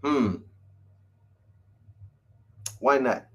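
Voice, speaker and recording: A man's voice: medium pitch, very slow, a bit bright, highs cut off. A man in his fifties hosting a casual livestream, answering viewer comments in a laid-back, profane, slang-heavy way. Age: 50s.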